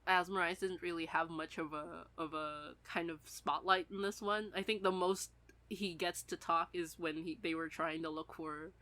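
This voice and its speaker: Bright-voiced woman chatting casually. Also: lowish voice, normal speed. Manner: animated, casual swearing, giggly. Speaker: podcast co-host. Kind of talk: casual chat, fan discussion.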